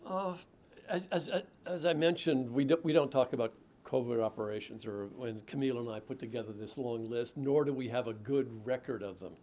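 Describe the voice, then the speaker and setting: A middle-aged man, an author, answering audience questions in a measured, thoughtful way with frequent restarts. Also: a little mellow. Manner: measured, thoughtful, with frequent restarts and low murmured "mm-hmm" while listening. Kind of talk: lecture Q&A answers.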